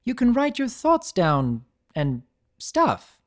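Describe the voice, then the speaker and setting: A narrator puts on a refined lady unicorn from a cartoon fan story. The voice is lifted high, proper and formal. This proud dressmaker is easily flustered and very ladylike. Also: wildly swooping pitch, slow pace. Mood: bright.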